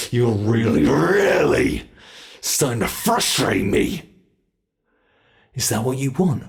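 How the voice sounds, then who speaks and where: A man playing a menacing monster in an ASMR roleplay, taunting captives with theatrical, deliberate mock politeness. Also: on the low side, gravelly, heavily acted. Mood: irritated, threatening.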